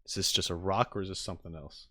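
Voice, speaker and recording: A man's voice: low-pitched, fairly even intonation, fast, fairly bright tone. A man casually chatting and reacting on a livestream, with easygoing asides muttered to his viewers. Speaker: streamer reacting to game videos.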